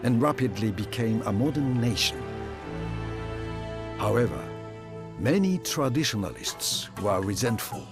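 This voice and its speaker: A man acting as the solemn narrator of a historical strategy game, telling a war chronicle in a measured, grave, dramatic way. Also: medium pitch, very animated. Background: prominent music.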